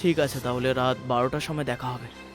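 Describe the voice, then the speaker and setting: A man's voice: medium pitch, steady tone, fairly quick, over music, close to an everyday voice. A man voicing an anxious, hesitant young thief in a cartoon, earnest and worried. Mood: cautious. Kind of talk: cartoon voice acting.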